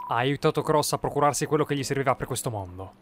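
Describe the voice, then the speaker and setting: A man voicing a proud, bitter young skeleton boy in an animated fan dub, confrontational and defensive. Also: medium pitch, fast, soft background music, close to an everyday voice. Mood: angry, defiant.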